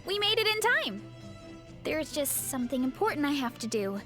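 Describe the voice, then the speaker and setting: A woman's voice: sits high, very animated, moderate pace. An actress playing a cheerful, laid-back young woman warrior in a video game, with an easygoing, breezy manner and sudden earnest bursts. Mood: chatty. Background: game music.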